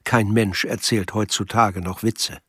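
A man's voice: on the low side, steady tone, unhurried, fairly bright tone, slightly rough, dry recording, clean studio sound. A man reading scripted literary narration as the narrator of a radio drama.

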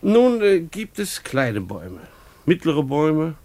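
A man's voice: on the high side, wildly swooping pitch, slow, dark tone, exaggerated voice. An actor playing a middle-aged schoolteacher husband in a radio play, ironic and patronizingly patient with his wife.

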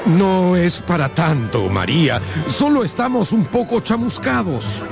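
An actor playing a good-humoured older professor, exclaiming in an animated way in a radio drama. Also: male voice, high-pitched, measured pace, creaky, full character voice. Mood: amused. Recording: loud music under the voice.

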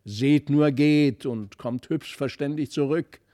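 A strict, practical mother from a fairy tale, read by a man. She admonishes briskly in a mellow tone. The recording is close and dry. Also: a little high, no room sound. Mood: indulgent. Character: strict, practical mother scolding her children.

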